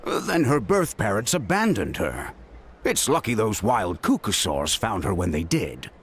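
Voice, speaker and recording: A man's voice: fairly low pitch, very animated, unhurried, husky, heavily acted. An actor playing a stern, weary middle-aged adoptive father in a game scene, with music behind.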